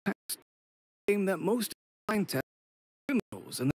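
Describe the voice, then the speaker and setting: A man playing an older, formal and apologetic gentleman supplier with a husky voice in a video game. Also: sits high, sing-song, a little slow, dry recording, heavily acted. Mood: uneasy.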